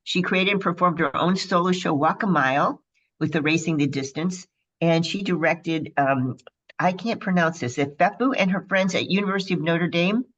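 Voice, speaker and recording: A woman's voice: fairly low pitch, moderate pace, fairly bright tone. Midwestern lady radio host, chatty n bubbly, lots of ums, kinda muffled lo-fi sound. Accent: Midwestern American. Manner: chatty, bubbly introductions with frequent fillers. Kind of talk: casual radio interview.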